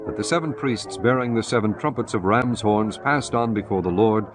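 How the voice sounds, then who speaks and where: A man narrating scripture in measured, steady King James English. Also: fairly low pitch. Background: guitar music.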